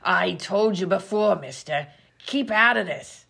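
A woman playing a gruff, bossy 1920s vaudeville comedienne, slangy and sarcastic, in an old-time radio comedy sketch. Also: medium pitch, expressive, unhurried, sharp tone, heavily acted. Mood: scornful. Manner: brash, slangy, sarcastic insults.

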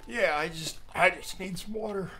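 A man's voice: sits high, normal speed, sharp tone, slight background noise. A man playing an ailing but jovial older father with a wheezy voice in an audiobook drama. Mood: breathless. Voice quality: wheezy, prone to coughing.

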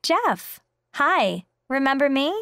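Woman acting a highish, unhurried, polite woman. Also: very animated, a bit bright, no room sound, clean studio sound, heavily acted. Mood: polite, friendly. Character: polite, friendly woman greeting acquaintances. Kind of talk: scripted textbook dialogue.

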